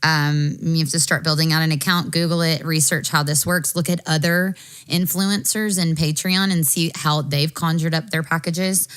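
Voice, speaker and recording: An American woman co-hosting a casual panel chat, talking briskly and frankly, swearing casually as she gives advice. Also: deep voice, fairly even intonation, fairly bright tone, clear, resonant tone. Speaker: podcast co-host.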